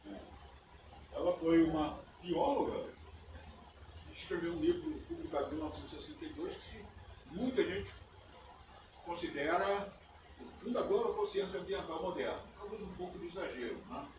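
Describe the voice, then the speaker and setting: An older man, a native English speaker, giving a relaxed conference lecture in a university auditorium, often checking in with his listeners. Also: high-pitched, normal speed, long pauses. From United States. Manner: conversational, often ends phrases with "né?". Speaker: guest lecturer. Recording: echoey auditorium, distant mic, background noise.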